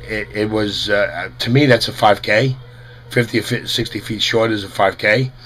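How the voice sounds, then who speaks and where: Middle-aged father with a head cold, low hoarse voice, halting earnest to-camera plea at a webcam. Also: a bit bright. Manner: earnest, halting, with many fillers. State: head cold, losing his voice. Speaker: parent of a runner. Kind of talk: casual to-camera statement. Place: small room indoors.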